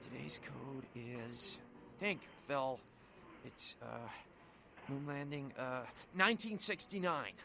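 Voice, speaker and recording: An actor playing a dim, forgetful hospital worker in a video game, hesitant and muttering to himself. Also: male voice, medium pitch, slow, creaky, background noise. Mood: flustered, hesitant. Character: dim, flustered, forgetful male hospital back-room worker. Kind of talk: video game character acting.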